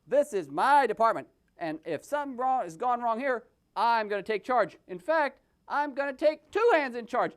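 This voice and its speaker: A man's voice: extremely high pitch, animated, normal speed, smooth. A man voicing a pompous, self-important county sheriff puppet who makes blustering declarations.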